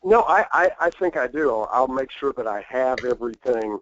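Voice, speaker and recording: A salesman on a team call, speaking with a hoarse voice, soft and apologetic. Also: mid-range voice, lively intonation, moderate pace, no echo, lo-fi sound.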